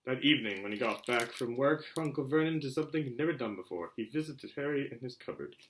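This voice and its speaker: A man narrating a children's novel aloud in an animated way, occasionally stumbling over words. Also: medium pitch, fast, a little hiss or hum, rough recording. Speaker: reader, show host. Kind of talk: amateur audiobook-style story narration.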